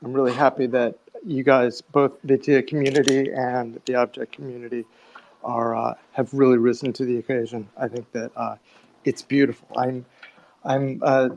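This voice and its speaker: A man speaking informally in a live audio chat, earnest and halting, often repeating his words. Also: mid-range voice, flat delivery, gravelly, a little mellow, dull audio, a little hiss or hum. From New York City, United States. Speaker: artist and audience speaker.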